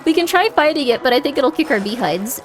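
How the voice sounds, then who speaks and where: A woman's voice: sits high, expressive, fast talker. A woman chatting casually and playfully on a livestream, reacting aloud as she plays a game. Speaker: streamer. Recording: prominent game music behind. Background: video game music.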